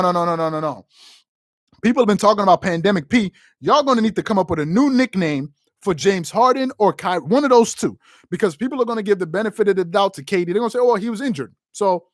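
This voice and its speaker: A man hosting a live sports talk stream, opinionated and emphatic, his pitch rising and falling a lot as he talks. He keeps repeating himself for emphasis as he reacts to viewers. The sound is dry and close, with no room around it. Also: no room sound. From United States. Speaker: sports show host.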